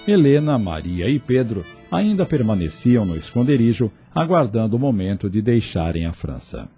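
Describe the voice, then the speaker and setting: A man's voice: on the low side, sing-song, moderate pace, dark tone, faint music behind. A man with a Brazilian accent narrating a scripted radio drama serial.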